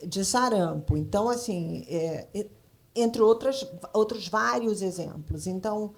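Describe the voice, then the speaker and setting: A Brazilian woman speaking off the cuff on a panel, halting and emphatic, repeating phrases as she thinks aloud. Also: mid-range voice, vocal fry. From Brazil, grew up in Brasília. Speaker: panel speaker, creative consultant in advertising. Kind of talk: spontaneous panel discussion.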